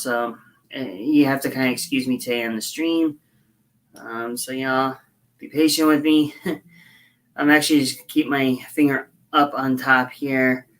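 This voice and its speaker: A hoarse woman chatting casually on a livestream as she builds a scale model, rambling and halting with frequent fillers. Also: a bass voice, fairly bright tone. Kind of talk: casual livestream chat while working.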